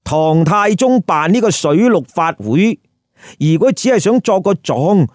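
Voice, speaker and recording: A man's voice: high-pitched, big pitch swings, measured pace, darkish tone, dry recording, studio quality. A man with a Hong Kong Cantonese accent telling a story with colloquial, emphatic commentary and rhetorical questions. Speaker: storyteller host.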